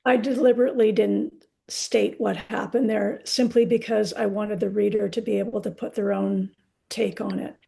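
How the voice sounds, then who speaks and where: A woman's voice: mid-range voice, fairly even intonation, normal speed, vocal fry, warm tone. A woman in her sixties, a poet answering interview questions in a candid, reflective, conversational way. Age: 60s.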